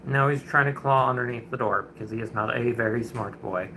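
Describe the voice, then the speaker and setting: A young man in his twenties talks casually to his livestream audience, with a bright, forward tone. He rambles a bit, greets his viewers, and chuckles often as he goes. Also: medium pitch, brisk, slight background noise, rough recording. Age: 20s. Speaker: streamer. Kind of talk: casual chat on a painting livestream.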